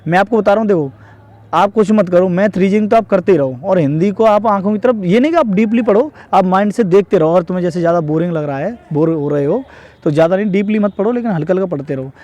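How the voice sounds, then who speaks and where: A man's voice: sits high, fast talker, darkish tone. A young man from northern India giving casual exam advice to viewers, explaining repetitively and often checking that they follow. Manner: repetitive, explanatory, often adds tag questions seeking agreement. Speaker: exam preparation advice vlogger.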